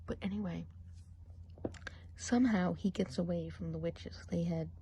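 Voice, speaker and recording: A woman's voice: fairly low pitch, steady tone, normal speed, husky. A woman playing a gossipy female forest witch in an ASMR roleplay, whispering and murmuring as she chats on. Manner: gossipy, mixes soft speech and whispers.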